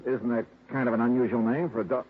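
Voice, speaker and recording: An actor playing a dry, patient insurance investigator in 1950s radio drama style, heard through a tinny old broadcast. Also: male voice, medium pitch, fairly even intonation, rapid-fire, husky, close to an everyday voice. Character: wry, patient insurance detective.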